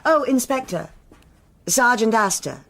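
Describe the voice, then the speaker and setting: An actress playing a stern, self-assured senior policewoman with a British accent, speaking in a measured, formal and authoritative way in a radio drama. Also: a little high, lively intonation, creaky, fairly bright tone, exaggerated voice. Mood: formal, cool. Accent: British English.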